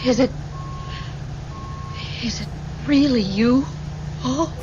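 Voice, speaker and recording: An actress in an old radio drama playing a grief-stricken woman, plaintive and halting in her longing. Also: sits high. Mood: tearful, yearning. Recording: muffled old radio broadcast, faint noise.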